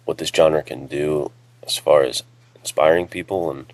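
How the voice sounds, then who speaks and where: A man's voice: extremely low pitch, steady tone, moderate pace, darkish tone, dry recording, low-quality recording. A man chatting casually and earnestly as a podcast co-host, in an informal way. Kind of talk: casual chat.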